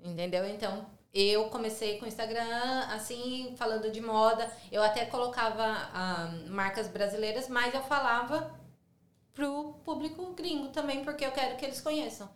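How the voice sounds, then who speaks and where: A woman's voice: on the high side, moderate pace, a little hiss or hum. Chatty São Paulo woman, roomy echo. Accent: Brazilian Portuguese, São Paulo. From São Paulo, Brazil. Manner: chatty, frequent tag questions. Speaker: podcast guest. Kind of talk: casual conversational interview.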